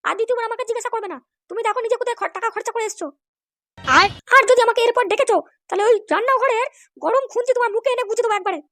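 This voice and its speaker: Comic cartoon housewife: piercingly high, defiant, snapping quickly. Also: female voice, fairly bright tone, a little hiss or hum. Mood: indignant. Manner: snapping, indignant. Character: shrill defiant scolding housewife, short-tempered.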